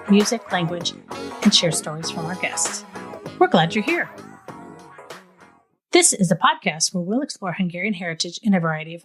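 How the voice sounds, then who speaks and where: A woman hosting a podcast, reading a friendly intro and then interviewing a guest. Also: lowish voice. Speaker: podcast host.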